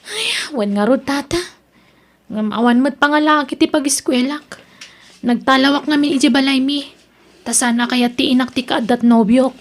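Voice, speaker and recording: A woman's voice: high-pitched, normal speed. A woman with an Ilocano accent playing a proud, determined young student in an audio drama. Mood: apologetic, subdued. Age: young adult.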